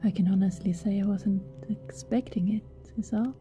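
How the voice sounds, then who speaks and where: A woman playing an affectionate, wistful vampire neighbour in an intimate ASMR roleplay, speaking softly and tenderly, often in a hesitant whisper. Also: mid-range voice, steady tone, dull audio, soft background music, close to an everyday voice. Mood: flustered, shy. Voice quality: soft, breathy, often whispered. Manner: slow, tender, hesitant murmuring.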